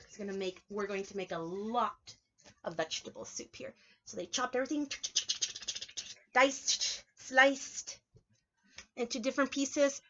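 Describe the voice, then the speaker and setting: A woman's voice: mid-range voice, fairly bright tone, lo-fi sound. A woman preschool teacher reading a picture book aloud to young children, explaining it simply and asking them questions. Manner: instructive, simple phrasing, asks children questions.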